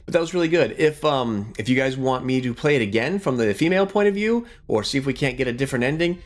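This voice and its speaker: A man's voice: medium pitch, expressive, fast talker, faint music behind. A middle-aged man giving casual, reflective gaming commentary in an earnest, rambling way. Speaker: gaming YouTuber.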